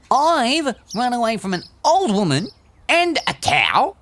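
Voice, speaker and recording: A woman's voice: fairly high pitch, big pitch swings, slow, a bit bright, slight background noise, exaggerated voice. A woman voicing a cheeky, boastful little gingerbread boy in a children's audio story, with a sing-song, taunting delivery.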